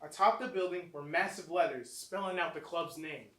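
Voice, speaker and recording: An actor playing an uneasy young clubgoer narrating a horror tale in a theatrical, deliberate staged reading. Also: mid-range voice, fairly bright tone, lightly acted. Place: small theatre, recorded from a distance. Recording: distant roomy stage recording, faint noise.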